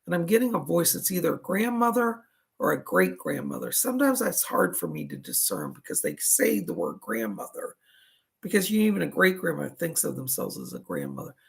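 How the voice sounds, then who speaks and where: A man with a raspy voice, a psychic medium giving live readings in a soothing, reassuring, chatty way. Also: fairly high pitch, huge pitch swings, brisk.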